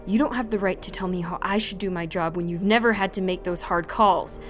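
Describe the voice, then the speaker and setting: A woman's voice: mid-range voice, brisk, soft background music, close to an everyday voice. Woman acting a stern, defensive female commander. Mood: angry, defensive. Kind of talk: amateur anime fan audio drama acting.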